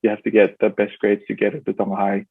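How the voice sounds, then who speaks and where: A man hosts a relaxed interview podcast, pausing often on fillers and restarting his sentences. His voice sits fairly low. The sound is thin and tinny, like a phone line, with no room echo. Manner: hesitant, many fillers and restarts. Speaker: podcast host. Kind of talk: casual interview.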